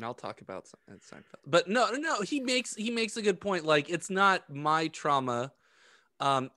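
A man in his thirties co-hosting a podcast, chatting casually in a halting, self-correcting way on a close microphone at home. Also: mid-range voice, fairly bright tone, no echo. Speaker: podcast co-host. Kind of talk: casual conversation. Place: home room, indoors.

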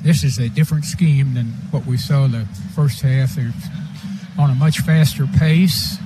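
A man with an American accent offers conversational football analysis as a radio color commentator. His voice is mid-pitched, with clear stadium noise behind it. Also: steady tone, a little slow. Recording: noisy live stadium broadcast.

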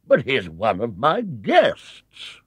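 A man voicing a pompous, flustered English gentleman factory owner in a storybook, booming and hesitant like a public speaker. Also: huge pitch swings, extremely slow, husky, dry recording, heavily acted. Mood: astonished.

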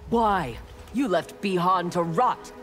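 A cold, ruthless young ninja warrior in a fighting game. Her delivery is slow, clipped and haughty, with a faint music bed underneath. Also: lowish voice, expressive, a bit bright. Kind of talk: video game dialogue.

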